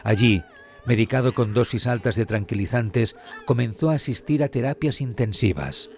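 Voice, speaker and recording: A man delivering scripted true crime narration in a solemn, measured, suspenseful manner. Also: medium pitch, lively intonation, darkish tone. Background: music.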